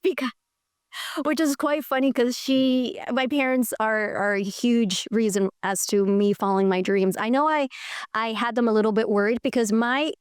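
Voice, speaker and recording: A middle-aged woman from Colombia with a fairly high, clear, smooth voice. She tells her story in an animated, enthusiastic way. The sound is dry and close. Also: normal speed, dry recording. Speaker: guest. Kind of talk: conversational interview storytelling.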